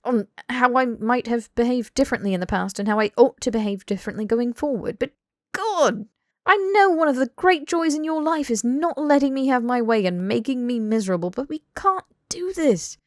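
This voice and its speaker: A woman's voice: a little high, lively intonation, moderate pace, no room sound, voice pushed up, lightly acted. Woman doing a young british guy in an audiobook, exasperated and earnest, emphatic, very dry recording. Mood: exasperated, heated. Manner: emphatic, sweary, rambling tirades. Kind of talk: dramatic audiobook dialogue.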